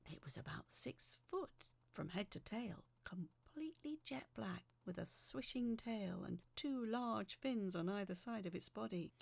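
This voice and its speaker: A woman reading a scripted first-person horror story in a dramatic, suspenseful way, often dropping into a breathy hush. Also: medium pitch, no echo.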